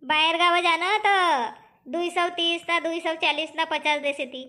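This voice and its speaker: A woman's voice: very high-pitched, measured pace, sharp tone, heavily acted. A woman voicing a talkative, plaintive village farm labourer in a cartoon comedy, speaking with a rural Powari accent. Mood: insistent. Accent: Powari, eastern Maharashtra and Balaghat region.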